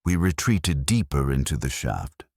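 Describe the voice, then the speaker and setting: Super deep male voice narrating a grim story, grave n measured, pitch moves around a lot, dry close sound. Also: animated, a little mellow, breathy edge, no echo. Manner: somber, measured, grave delivery. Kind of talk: scripted first-person dramatic narration.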